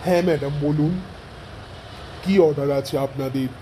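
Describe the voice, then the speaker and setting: A man's voice: a little high, steady tone, moderate pace, mellow, slight background noise, close to an everyday voice. A man playing a courteous, obliging restaurant waiter in a cartoon. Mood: polite, attentive. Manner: courteous, deferential.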